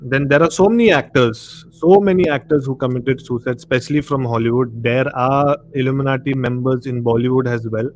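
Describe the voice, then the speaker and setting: A man's voice: mid-range voice, fairly even intonation, a little fast, hardly any pauses. A man with an Indian accent hosting a casual live discussion, explaining things in a conversational way and often saying "you know". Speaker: live show host. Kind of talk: casual discussion of horror and conspiracy. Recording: noisy live stream audio.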